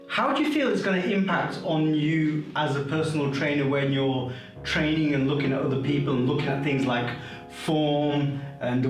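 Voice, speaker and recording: A man who works as a myofascial therapist, explaining treatment to viewers in a chatty, jokey way while he works on a client. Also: fairly high pitch. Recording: roomy, far from the mic, guitar music behind.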